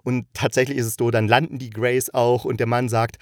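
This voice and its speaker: A man's voice: mid-range voice, level tone, a little fast, no room sound, studio quality. Rambling, enthusiastic man hosting a casual podcast. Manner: rambling, enthusiastic, colloquial, jumping between asides. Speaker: podcast host reviewing audio dramas. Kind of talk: casual unscripted monologue review.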